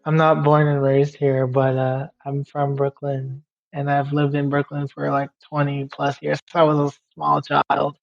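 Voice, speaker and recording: Young male guest from Guyana; pitched a bit high, laid-back run-on delivery, muffled mic. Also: unhurried, creaky, hardly any pauses, soft background music. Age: young adult. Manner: relaxed, laughs easily, talks in loose run-on phrases. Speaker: podcast guest. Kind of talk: casual interview chat. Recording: somewhat dull, muffled sound.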